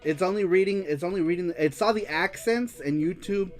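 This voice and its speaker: A man from the United States hosting a live-streamed show, chatting casually as he reads viewer comments. He is animated, laughs often, swears freely and slips into Spanish. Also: sits high, fairly quick, faint music behind. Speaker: host of a live-streamed retro gaming show.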